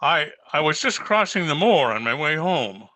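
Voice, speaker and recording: Man acting as agitated doctor, very bright forceful voice, lively swoops, halting, radio play. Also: a little high, rough recording. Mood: shaken, urgent. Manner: urgent, halting, dramatic. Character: agitated, shaken country doctor. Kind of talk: old-time radio drama acting.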